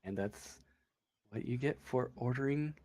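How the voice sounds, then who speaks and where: A man voicing a dismissive, calmly scolding mother in a tabletop game, flat and matter-of-fact. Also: medium pitch, measured pace, dry recording, natural voice.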